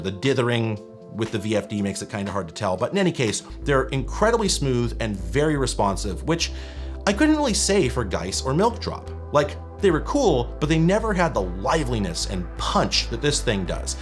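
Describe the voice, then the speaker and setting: A man presenting tech in a scripted but conversational narration, enthusiastic, wry and emphatic. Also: medium pitch.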